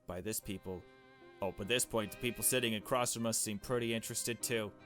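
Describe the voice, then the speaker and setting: A man's voice: fairly low pitch, fairly even intonation, fairly quick, a bit bright. A man reading a visual novel game aloud in a casual let's play style, dropping in his own asides. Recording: music playing behind the voice. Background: game music with strings.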